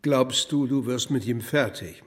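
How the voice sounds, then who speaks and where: A man's voice: mid-range voice, measured pace, close to an everyday voice. A man playing a wry, gossipy small-town club regular in a naturalistic audio drama, laid-back in manner. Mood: wry, musing.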